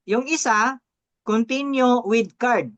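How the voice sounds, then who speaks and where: A man's voice: extremely high pitch, takes it slowly, fairly bright tone. A man coaching through a live step-by-step tutorial, often checking understanding with short tags, on dull lo-fi audio. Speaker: coach and presenter.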